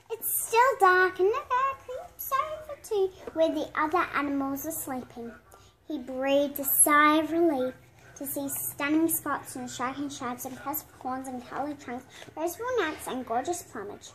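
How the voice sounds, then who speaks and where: Little girl about 8 reading a storybook out loud, really high voice, slow and halting. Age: child, 8 years old. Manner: halting, word-by-word read-aloud with stumbles. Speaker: young reader. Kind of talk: reading a picture storybook aloud. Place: indoor room at home.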